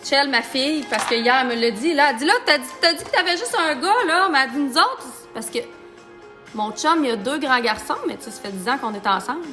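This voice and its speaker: Femme d'âge mûr à l'accent québécois, animatrice de live beauté, parle en riant, ton taquin. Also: female voice, high-pitched, lively intonation, a little fast, sharp tone, soft background music. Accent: Québécois French. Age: middle-aged. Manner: chatty and joking, talks while applying makeup. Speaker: makeup artist and business owner. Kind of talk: live-stream makeup tutorial chat.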